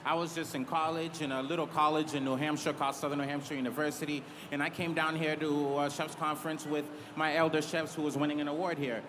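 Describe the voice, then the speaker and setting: A man's voice: a little high, flat delivery, fast, hardly any pauses. A man chef from Massachusetts telling his story on a panel, earnest with hesitant fillers. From Cambridge, Massachusetts. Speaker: head chef and restaurant owner. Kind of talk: panel talk. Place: large convention hall. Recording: reverberant hall, clear noise.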